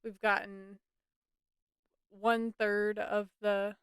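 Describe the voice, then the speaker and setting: A woman's voice: medium pitch, flat delivery, drawn-out words, clean tone, no echo. A tired woman chatting casually as she live-streams a game on a headset mic.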